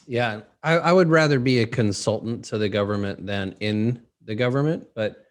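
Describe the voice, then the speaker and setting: A man hosting a casual interview, speaking in a measured, reflective way and trailing off at the ends of phrases. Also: mid-range voice. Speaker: host.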